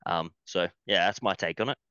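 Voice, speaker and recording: A man on a podcast speaking in a measured, hesitant way with fillers. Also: low voice, sharp tone, smooth, no echo, lo-fi sound. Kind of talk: casual podcast chat.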